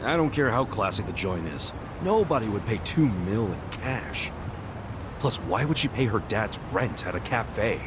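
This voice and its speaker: Casting: scrappy video game hero, blunt, lively mid-range voice, over noisy street ambience. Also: male voice, lowish voice, a little slow. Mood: skeptical. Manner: informal, blunt, expressive. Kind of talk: English game dub acting.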